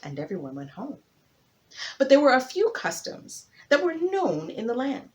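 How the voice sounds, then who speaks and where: A woman narrating a folktale as a storyteller, with theatrical emphasis and dramatic pauses. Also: sits high, wildly swooping pitch.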